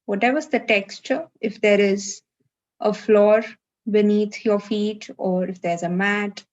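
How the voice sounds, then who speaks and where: A woman leading a live guided meditation, giving gentle, unhurried instructions with long pauses, over a video call. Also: mid-range voice, fairly even intonation, lo-fi sound.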